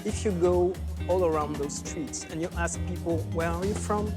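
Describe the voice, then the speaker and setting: A man with a somewhat higher voice. He explains things carefully and earnestly, with music playing behind him. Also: normal speed. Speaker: guest, sports writer.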